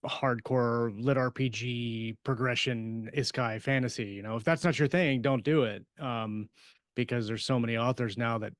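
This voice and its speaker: Man, podcast co-host, crisp smooth voice, medium pitch, halting casual talk, dry recording. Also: fairly even intonation, dry recording. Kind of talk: casual chat.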